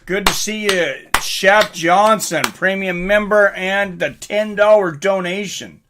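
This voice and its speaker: Male live fight commentator, high bright voice, informal and excitable, chatty streamer delivery. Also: slow pace, a little hiss or hum. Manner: excitable, informal, swearing, reacting aloud. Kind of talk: live fight commentary mixed with casual chat to viewers.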